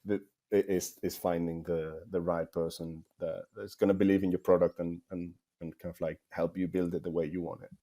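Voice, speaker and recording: A man with a Spanish accent and a deep, relaxed, dark voice. He speaks as a game developer giving conversational interview answers, working out his phrasing as he goes and often repeating words. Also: normal speed, few pauses. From Spain.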